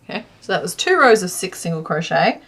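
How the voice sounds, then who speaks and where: A woman's voice: fairly low pitch, sing-song, fairly quick. A woman chatting casually on a crochet livestream, rambling with many fillers and reading chat aloud. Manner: reads chat aloud and counts stitches quietly. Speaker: crochet streamer.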